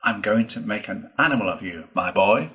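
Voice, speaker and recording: A man voicing a boastful, excitable gentleman toad in a storybook, declaiming in a pompous, gushing way. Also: medium pitch, a little slow, pitched above the performer's own voice. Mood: coaxing, insistent.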